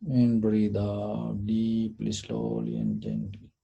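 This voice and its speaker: A man leading a guided meditation, giving short, deliberate instructions in a gentle, soothing manner. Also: fairly low pitch, very animated, vocal fry, slightly rough, highs cut off. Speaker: meditation teacher.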